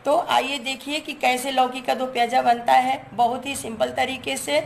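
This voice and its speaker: Hoarse woman hosting a home cooking video, chatty step-by-step explainer, slight fry in her voice. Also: sits high, level tone, moderate pace, a little hiss or hum. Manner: chatty, explains each step while showing ingredients. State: hoarse from a cold. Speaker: cooking channel host.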